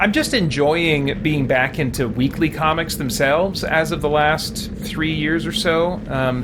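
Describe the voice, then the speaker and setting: A man's voice: on the high side, background noise. A man doing casual game commentary as a streamer, rambling and thinking aloud. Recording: loud game music behind voice. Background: game music and effects.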